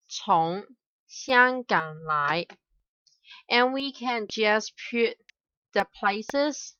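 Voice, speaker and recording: Young woman tutor, very slow and halting, bright tone, dull dry lo-fi take. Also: mid-range voice, no room sound. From Hong Kong. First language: Cantonese. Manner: hesitant, many filler "uh"s, careful repetition of example phrases. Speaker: language teacher. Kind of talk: slow explanatory grammar lesson. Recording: dull, slightly lo-fi dry recording.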